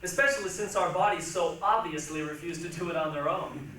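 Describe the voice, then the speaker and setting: A woman performing a dramatic stage reading with measured, theatrical storytelling. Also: fairly low pitch, fairly bright tone. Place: large echoey room, recorded from a distance. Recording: echoey hall, distant mic, faint noise.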